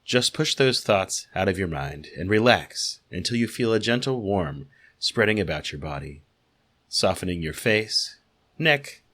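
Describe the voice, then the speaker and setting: Man as meditation guide, deep voice, gentle, serene, soothing, measured relaxation instruction. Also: heavily acted.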